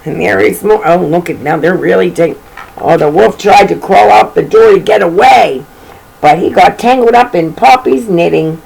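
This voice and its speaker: A woman's voice: lowish voice, normal speed, creaky, a little mellow, slightly rough, rough recording. A woman reading a children's storybook aloud in an informal way, with chatty, folksy asides about the pictures.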